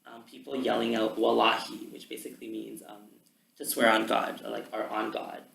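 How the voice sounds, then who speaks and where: Young man with a gravelly voice. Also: on the low side, normal speed. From Canada. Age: young adult. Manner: relaxed, many ums, talks at length. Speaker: student. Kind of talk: casual conversation about language. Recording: roomy with faint background noise.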